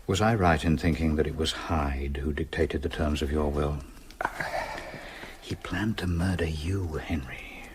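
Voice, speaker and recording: An actor playing a concerned, loyal Victorian gentleman in a British radio drama, courteous and expressive, with a British accent. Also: rumbling low, a little slow, slight background noise. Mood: urgent, hushed.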